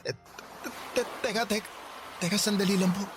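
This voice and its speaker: A man's voice: high-pitched, fairly even intonation, normal speed, background noise, close to an everyday voice. A Filipino man acting in a radio drama as a polite, naive young husband, anxious and stammering. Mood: nervous, pleading. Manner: hesitant, stammering, respectful.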